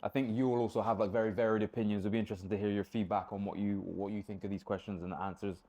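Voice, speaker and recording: Male podcast co-host, quick eager delivery. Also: on the low side, flat delivery, mellow. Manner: quick, eager, agrees often. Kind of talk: casual chat.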